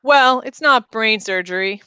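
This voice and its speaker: A woman's voice: on the high side, a little slow, pushed and bright, clear, resonant tone, no echo. A woman playing a detached, businesslike surgeon in a story, talking in a clipped, matter-of-fact way. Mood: casual, detached.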